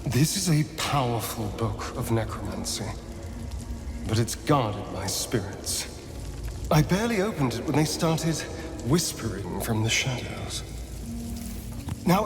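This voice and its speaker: A suave vampire spawn from a fantasy game, with music behind him in a reverberant space. His speech swoops dramatically, arch and bitter, lingering on key words as if centuries of resentment sit under the polish. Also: mid-range voice. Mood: musing, uneasy. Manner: theatrical, arch, drawn-out emphatic phrasing. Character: suave, bitter male vampire spawn, two centuries old, former magistrate. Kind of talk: theatrical video game dialogue.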